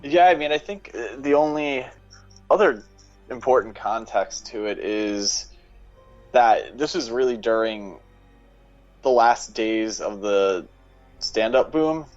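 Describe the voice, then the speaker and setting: A man's voice: mid-range voice, slow pace, long pauses, darkish tone, soft background music. A man speaking as a podcast guest in a casual, analytical discussion style. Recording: dull lo-fi mic sound.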